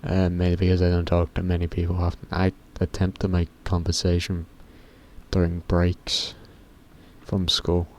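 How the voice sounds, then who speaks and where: A teenage boy with a very deep voice, rambling casually to camera in a vlog, often stopping himself mid-sentence and swearing offhandedly. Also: monotone, moderate pace, darkish tone. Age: teens. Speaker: YouTuber.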